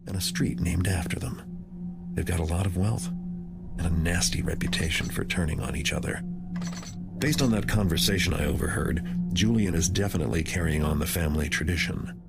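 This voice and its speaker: An actor playing a cynical master thief narrating a dark medieval video game in a detached, wry manner. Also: male voice, brisk, vocal fry. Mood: cold, wry. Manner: detached, wry, low-key delivery. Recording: loud game music behind.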